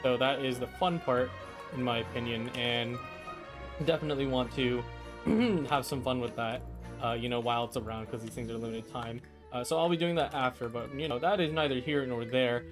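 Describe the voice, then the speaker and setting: A man's voice: mid-range voice, fairly even intonation, moderate pace. Chatty male game streamer over music. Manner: rambling, slangy, chatty. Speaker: livestream host, gamer. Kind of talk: casual live game stream commentary.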